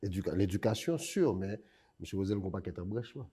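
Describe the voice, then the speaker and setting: A man's voice: low voice, expressive, fairly quick, mellow, slightly rough. A man hosting an interview, asking questions and murmuring agreement as he listens attentively. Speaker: host.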